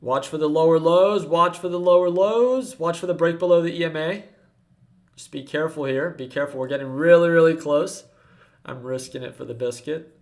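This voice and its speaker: A man's voice: a little high, moderate pace. A man in his twenties hosting a casual trading livestream, earnestly coaching viewers from a desk at home. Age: 20s. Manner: earnest coaching, reading chat aloud. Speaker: stock trading livestream host.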